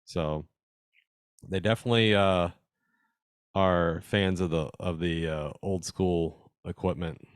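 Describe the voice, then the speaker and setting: A man with a deep, very clear and smooth voice presents slides informally. He explains technical details conversationally and with evident interest, like a hobbyist addressing fellow club members. The sound is dry, with little room echo. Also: fairly even intonation, slow, pauses often. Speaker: presenter at an amateur radio club meeting.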